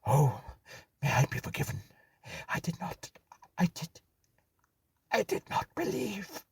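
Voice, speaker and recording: A man's voice: fairly high pitch, sing-song, slow pace, vocal fry, slightly rough, no echo, voice pushed up, heavily acted. A man voicing the tormented ghost of a dead man, roaring and pleading from far below. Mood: anguished. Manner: roaring, frantic shouts.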